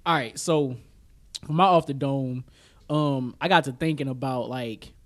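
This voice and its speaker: A man's voice: medium pitch, a little slow. A man co-hosting a podcast in casual, slangy chat, with an easygoing, agreeable way of talking. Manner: slangy, informal, easily agreeable. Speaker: podcast co-host. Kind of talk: casual chat about music, films and sports.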